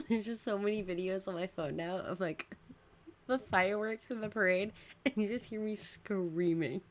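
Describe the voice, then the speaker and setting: A young woman hosting a casual streamed podcast. She giggles often between words, and her voice is worn from recent shouting. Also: medium pitch, normal speed. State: losing her voice. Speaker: podcast host and VTuber.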